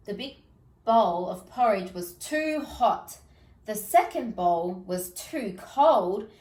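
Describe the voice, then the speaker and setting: Female storybook reader, medium pitch, unhurried expressive read-aloud, roomy recording. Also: far from the mic.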